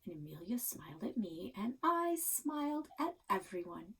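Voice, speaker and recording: A woman narrating a children's novel aloud in an animated, dramatic, expressive style. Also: medium pitch, normal speed, slightly rough, distant mic. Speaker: storybook reader.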